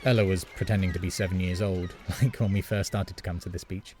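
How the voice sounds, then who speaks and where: Male actor as melancholy narrator, lower pitch, measured, reflective, first-person audio drama storytelling. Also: fairly even intonation, a little mellow, slight background noise, lightly acted. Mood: wistful. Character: melancholy adult brother narrating, lonely and wistful.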